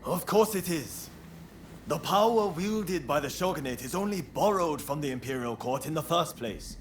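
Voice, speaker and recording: An actor playing a fiery, proud and idealistic young samurai in a video game, with earnest, formal phrasing. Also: male voice, fairly high pitch, lively intonation, moderate pace, slight background noise, close to an everyday voice. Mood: indignant, resolute. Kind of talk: video game cutscene acting.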